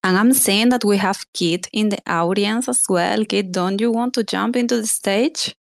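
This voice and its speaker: A woman's voice: mid-range voice, normal speed, gravelly, no room sound, studio quality. A woman chatting casually and enthusiastically in an online group conversation. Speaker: speaker in an online audio space. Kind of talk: casual chat.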